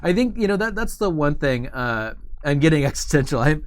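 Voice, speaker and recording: A man's voice: on the high side, big pitch swings, a little fast. Rambling, laughing man hosting a chat. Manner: rambling, many restarts, frequent laughs. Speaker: host. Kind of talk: casual chat, interviewing a player.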